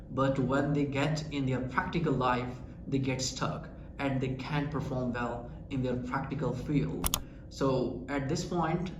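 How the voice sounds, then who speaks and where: A man's voice: mid-range voice, dull audio. A man with a Pakistani accent teaching an English lesson, explaining earnestly and asking rhetorical questions. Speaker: English teacher and channel founder. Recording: echoey room with faint ambient noise.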